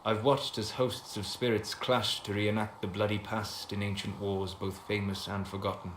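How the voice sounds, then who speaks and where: Low-voiced scholarly elf mage, acted by a man. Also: flat delivery, natural voice. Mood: solemn, intrigued. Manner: measured, formal, eloquent. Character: calm scholarly male elf apostate mage, wistful and reserved. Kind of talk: video game dialogue acting. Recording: echoey room with background noise.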